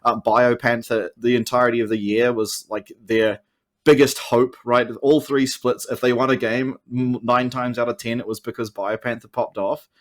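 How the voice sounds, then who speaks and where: A man's voice: medium pitch, level tone, normal speed. A man with a New Zealand accent explaining his views at length in casual, analytical podcast chat, like an esports caster. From Oceania.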